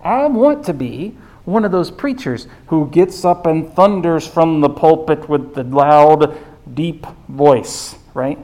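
A preacher with a squeaky voice giving a sermon. The delivery is chatty and informal, tossing in quick questions to the congregation. The pitch sits in an ordinary middle range. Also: expressive, darkish tone, low-quality recording. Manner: conversational, keeps asking "right?". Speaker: pastor.

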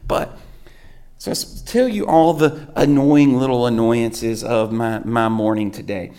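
A man, a pastor preaching with a sore, hoarse throat, telling rambling self-mocking stories. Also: medium pitch, measured pace, mellow. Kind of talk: sermon.

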